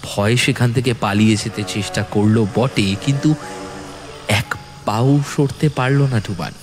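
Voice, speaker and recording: A man's voice: medium pitch, a little slow. A man narrating a scripted horror story in a dramatic storytelling style. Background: music.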